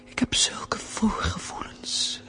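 A woman's voice: mid-range voice, sing-song, fairly quick, husky. A woman playing a composed, firm, tactful young companion in a radio play, practical and controlled. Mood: secretive, anxious.

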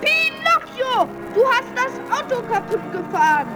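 Brash, teasing boy, radio play: very high and lively. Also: unhurried, over loud music, heavily acted. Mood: excited. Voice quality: boyish, high. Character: cheeky, bossy young boy tempting his friend. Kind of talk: children's radio play acting.

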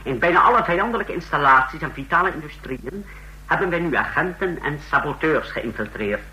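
An actor in a Dutch radio play voicing a proud, menacing secret-service officer with a clipped, formal way of briefing. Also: male voice, a little high, animated, a little fast, bright, forceful tone, poor audio. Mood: self-assured briefing. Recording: faint hum, old radio recording.

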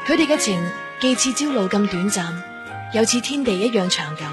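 A woman's voice: mid-range voice, animated, normal speed, over music. A woman with a Hong Kong accent reading a scripted radio drama introduction as announcer.

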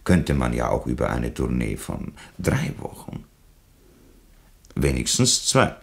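An actor playing a patronising, oily government official in a radio play, gravelly, with an Austrian accent and an unctuous, cajoling manner. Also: male voice, extremely low pitch, very animated, slow pace, heavily acted. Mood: veiled pressure. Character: smooth-talking, patronising male government official, insistent and oily. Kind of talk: German-language radio play dialogue.

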